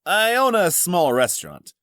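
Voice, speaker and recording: Man acting a bright, forceful conference bit-part character. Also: high voice, wildly swooping pitch, normal speed, no echo, clean studio sound, full character voice. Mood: inquisitive. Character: assorted male conference attendees and a curt guard. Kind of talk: visual novel character acting.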